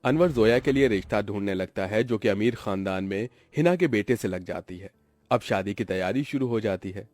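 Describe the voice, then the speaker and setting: A man's voice: on the low side, moderate pace, a little mellow, no room sound. A man narrating a scripted story for a cartoon.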